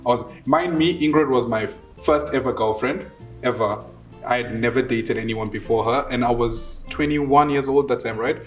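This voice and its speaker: Male, twenties, Southern African accent, animated casual storyteller in an interview, faint guitar music behind. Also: mid-range voice, normal speed. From Zimbabwe and South Africa. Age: 20s. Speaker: interviewee telling his relationship story.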